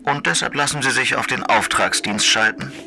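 An actor playing a condescending, menacing federal prosecutor in a radio play, speaking in an officious, admonishing, formal way. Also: male voice, medium pitch, moderate pace, gravelly, background noise, lightly acted. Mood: suspicious. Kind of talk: German radio play acting.

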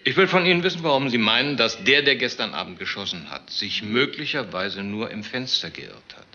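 An actor playing a suspicious, sardonic police detective in a radio crime drama, asking pointed, persistent questions. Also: male voice, medium pitch, animated, a little fast, sharp tone, dull audio. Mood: insistent, sardonic. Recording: faint background noise.

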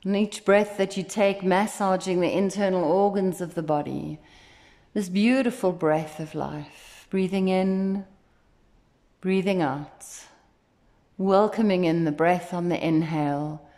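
Echoey, gently guiding woman, lowish voice. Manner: gentle, soothing, unhurried instruction. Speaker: meditation instructor. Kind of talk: guided meditation. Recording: echoey, roomy sound.

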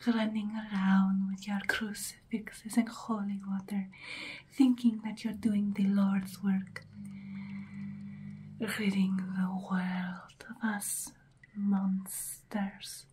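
Centuries-old female vampire, played by a woman, ASMR roleplay: menacing, condescending, breathy whisper, extremely unhurried delivery. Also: mid-range voice, flat delivery, mellow, a little hiss or hum, natural voice. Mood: menacing, scornful. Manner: slow, deliberate, taunting, condescending.

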